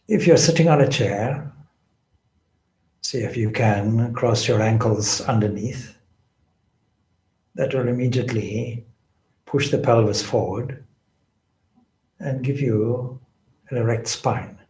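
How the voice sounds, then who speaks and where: A man leading a guided meditation as a spiritual teacher, with deliberate, measured phrasing and pauses. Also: mid-range voice, darkish tone. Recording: echoey room.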